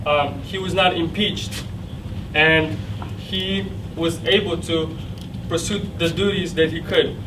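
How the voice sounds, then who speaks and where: A man's voice: a little high, steady tone, takes it slowly. A young man from central Ukraine with a Ukrainian accent, whose first language is Russian, giving a slide presentation in a classroom, explaining step by step with fillers and restarts. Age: young adult. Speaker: student presenter. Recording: distant mic with clear background noise.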